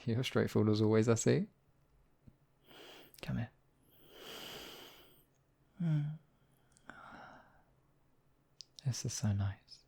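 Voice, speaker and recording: A man playing a demon king in an intimate ASMR roleplay, husky and theatrical, with deliberate phrasing and lingering pauses. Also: on the low side, mellow, exaggerated voice. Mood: soft, loving. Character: demon king, menacing captor act turning tender, devoted lover.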